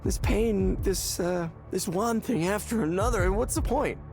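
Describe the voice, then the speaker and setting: An actor playing a despairing, hollow man in a visual novel game, speaking haltingly and repeating words as he searches for them. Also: sits high, vocal fry, a little mellow, faint music behind. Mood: desperate, pleading. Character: despairing, hollow man confiding in a counseling session.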